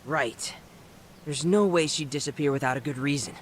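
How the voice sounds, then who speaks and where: A man's voice: fairly high pitch, moderate pace, slight background noise, natural voice. An actor playing an earnest young man searching for his mother in an English video game dub. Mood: anxious, hopeful.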